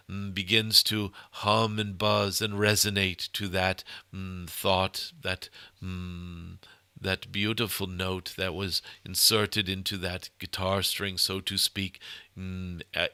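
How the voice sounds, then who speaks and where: Benevolent ancient dragon teacher, male performer: low, very forceful crisp tone, ceremonious spiritual guidance, frequent "mm" hums. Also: no echo, exaggerated voice. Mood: serene, instructive. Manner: halting, ceremonious phrasing punctuated by frequent humming "mm". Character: channeled cosmic golden dragon, benevolent, wise, loving teacher. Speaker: channeler giving spiritual guidance. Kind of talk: channeled spiritual message to an audience.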